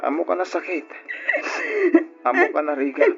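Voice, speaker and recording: An actor with an Ilocano accent playing a sombre, gentle male cousin in a radio drama. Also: a little high, moderate pace, gravelly. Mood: consoling. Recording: dull lo-fi radio recording, music behind.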